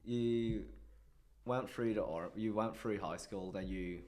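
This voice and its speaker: Curious young co-host asking questions. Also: male voice, on the low side, slow, a little mellow. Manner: curious, short prompting questions. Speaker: co-host, student. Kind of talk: casual interview questions.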